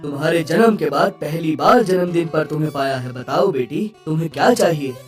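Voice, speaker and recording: Low-voiced stern cartoon king played by a woman. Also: brisk, recorded from a distance, over music, lightly acted. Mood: fatherly. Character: stern, authoritative king and loving father. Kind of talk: Hindi cartoon story dialogue.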